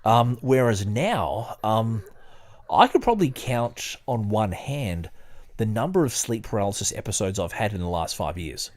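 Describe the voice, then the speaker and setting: A man with a fairly deep voice hosts a podcast. He talks casually in a hesitant, wandering way, and also reads passages aloud. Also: big pitch swings. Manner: hesitant, halting, digressive when talking. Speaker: podcast host. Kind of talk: casual chat and reading aloud.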